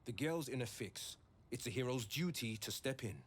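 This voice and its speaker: Husky, earnest adventurer. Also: male voice, medium pitch, expressive, normal speed. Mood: resolute. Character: earnest heroic male adventurer. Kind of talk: video game acting.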